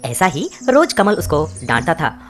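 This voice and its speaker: A woman narrating a children's cartoon story in an expressive storytelling way. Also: low voice, normal speed. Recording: music bed behind.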